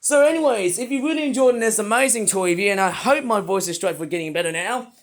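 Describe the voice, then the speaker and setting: Man, high pitch, rambling playful toy reviewer, voice a bit under the weather, sudden excited shouts. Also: fast. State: voice not fully well. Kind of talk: casual toy review vlog.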